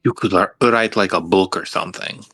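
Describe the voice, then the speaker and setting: Lowish gravelly guy bantering in a tabletop rpg, bit of music under. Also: fairly quick, bright, forceful tone, no echo, studio quality. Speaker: tabletop game player. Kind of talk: casual roleplay banter.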